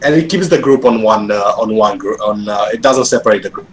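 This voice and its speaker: A man's voice: fairly low pitch, a little fast, a little hiss or hum, low-quality recording. Romanian-born guy on a gaming podcast, hesitant, restarts a lot, laughs easily. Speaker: podcast co-host. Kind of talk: casual gaming chat.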